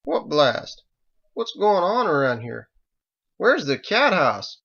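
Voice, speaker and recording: A man's voice: a little high, big pitch swings, takes it slowly, gravelly, fairly bright tone, muffled recording, pitched above the performer's own voice, full character voice. A man voicing a bewildered, easily cowed drunk townsman with a folksy, exclamatory way of talking in an audiobook. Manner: folksy, exclamatory, dropped words.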